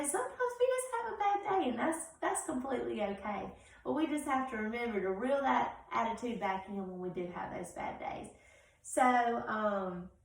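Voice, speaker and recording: A woman's voice: on the high side, creaky, a bit bright. A woman talking casually and affectionately to children as a teacher, encouraging them, recorded at home. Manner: encouraging, affectionate toward young listeners. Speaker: teacher reading aloud to pupils. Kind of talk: casual read-aloud talk to children. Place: indoors at home. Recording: very echoey room, distant from mic.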